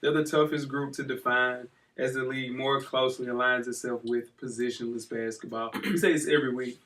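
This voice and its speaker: A man's voice: medium pitch, fairly even intonation, fairly quick, fairly bright tone. A man hosting a sports podcast with casual, slangy banter, jovial and given to repeating phrases for emphasis. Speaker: podcast host. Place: studio. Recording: slightly distant from the mic.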